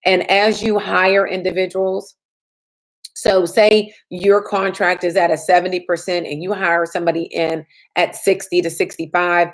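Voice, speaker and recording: Slightly raspy woman hosting a Q&A. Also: on the low side. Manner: chatty, answers viewer questions. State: slightly raspy from lack of sleep. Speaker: insurance agent and trainer. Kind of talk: live-stream Q&A.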